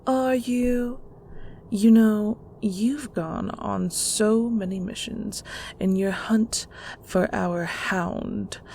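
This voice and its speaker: A woman playing a controlling, middle-aged mother in an audio roleplay, with condescending sweetness and a patronizing, deliberate delivery. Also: mid-range voice, vocal fry, warm tone, slight background noise. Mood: cold suspicion. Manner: patronizing, slow, deliberate interrogation.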